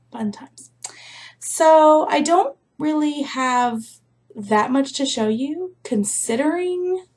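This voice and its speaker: A woman vlogger chatting casually to her viewers, rambling with lots of fillers, her voice a little rough from a recent sore throat. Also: high-pitched. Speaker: crafting vlogger. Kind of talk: casual chat to camera.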